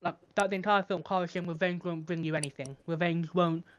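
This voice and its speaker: A co-host on a casual podcast who argues a point haltingly, often searching for words. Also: mid-range voice, steady tone, highs cut off.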